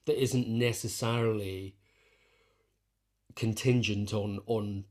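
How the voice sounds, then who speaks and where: Low-voiced man, thoughtful and halting. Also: fairly even intonation, darkish tone. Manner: thoughtful, halting, self-correcting. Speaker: interviewed composer and musician. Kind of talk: reflective conversational interview.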